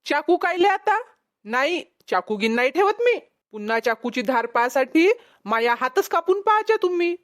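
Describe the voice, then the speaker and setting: Woman acting a high-pitched, whiny cartoon pot peddler. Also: very animated, normal speed, faint music behind. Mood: teasing protest. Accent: Vidarbha Marathi. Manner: whiny, protesting, meekly deferential. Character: put-upon male village pot peddler, nervous and complaining. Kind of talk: exaggerated cartoon comedy acting.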